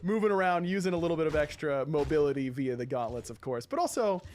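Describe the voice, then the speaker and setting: A man with a slightly high voice hosts a game update show. He talks casually and enthusiastically, with faint noise in the background. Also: expressive, fast talker, a little mellow. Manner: upbeat, enthusiastic. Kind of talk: casual live presentation and commentary.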